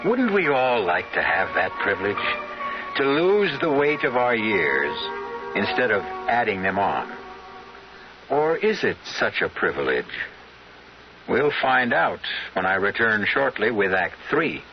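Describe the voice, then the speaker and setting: Deep, animated male radio narrator over music. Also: a little slow. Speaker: host and narrator. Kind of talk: theatrical scripted radio narration. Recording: muffled old radio broadcast.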